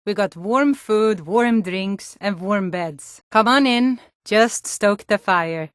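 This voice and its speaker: A woman's voice: medium pitch, slow pace, no echo, clean studio sound, close to an everyday voice. A woman playing a plain-spoken, sturdy Nord townswoman and guard in a fantasy video game, with a firm, direct manner. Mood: welcoming.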